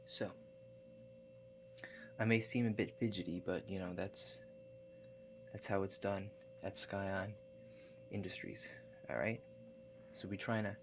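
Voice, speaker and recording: A man doing a softly spoken ASMR roleplay as a calm relaxation agent, hushed and halting, with gentle check-in questions. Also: deep voice. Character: calm, awkward house-call relaxation agent. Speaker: ASMR performer.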